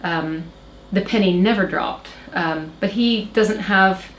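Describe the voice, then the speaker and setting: A woman's voice: medium pitch, slow pace. A woman in her thirties from Oklahoma, American with a slight Irish lilt, telling a personal story hesitantly, with many fillers and restarts. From Oklahoma, USA. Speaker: interview guest. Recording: roomy echo, faint background noise.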